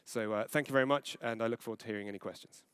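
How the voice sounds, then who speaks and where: A man giving a conference presentation, earnest and steady with occasional fillers and self-corrections. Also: mid-range voice, very fast, a little hiss or hum. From Falkland Islands. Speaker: conference panel speaker.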